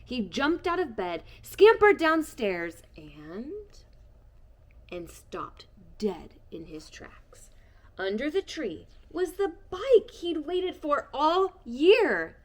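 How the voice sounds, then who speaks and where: Expressive woman reading a storybook. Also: high voice, takes it slowly. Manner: expressive, shifting between hushed and bright. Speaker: storybook reader. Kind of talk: children's book read-aloud.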